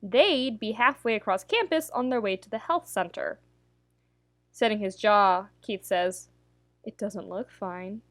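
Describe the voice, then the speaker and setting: A woman's voice: mid-range voice, animated, unhurried, fairly bright tone, dry recording. Woman narrating a story, close and dry. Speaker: podfic narrator reading fan fiction. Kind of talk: scripted story narration with dramatic pauses.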